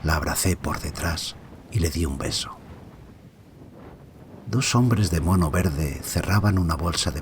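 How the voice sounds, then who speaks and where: A man playing an anxious married father who narrates a mystery audio drama in a brooding, hushed way, often dropping toward a whisper. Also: lowish voice, gravelly, noisy background. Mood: subdued. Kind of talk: audio fiction first-person dramatic narration.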